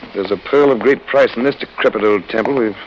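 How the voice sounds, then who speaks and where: An actor playing a genteel, wry British gentleman adventurer who exclaims readily, in an old-time radio serial. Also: fairly low pitch, fast, natural voice. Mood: wry, grumbling. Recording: noisy old radio drama recording.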